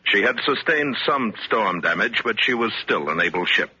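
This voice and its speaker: An actor playing a grave narrator of a sea mystery in an old radio drama, measured, solemn and deliberate. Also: male voice, lowish voice, lively intonation, pushed and bright, slightly rough, full character voice. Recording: muffled vintage radio recording.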